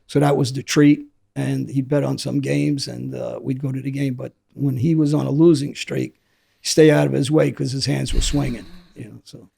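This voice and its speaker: A middle-aged man with a hoarse, gravelly voice, telling his story as an interview guest in a rambling way that often restarts. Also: medium pitch, flat delivery, normal speed, dark tone. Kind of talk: candid storytelling.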